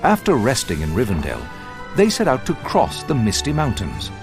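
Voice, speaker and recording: A man narrating a fantasy video game like a storybook, in a measured, dramatic and ominous way. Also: mid-range voice, huge pitch swings, music in the background.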